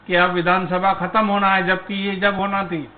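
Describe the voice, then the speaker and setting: A man giving political commentary as a debate panelist, speaking in measured, broken phrases and posing rhetorical questions. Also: sits high, little pitch movement. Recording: tinny phone-like line.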